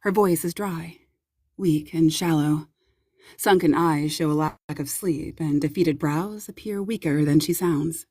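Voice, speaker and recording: A woman giving a dramatic first-person audiobook narration in a hushed, measured, grave manner with a husky, breathy voice. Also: low voice, no room sound, clean studio sound.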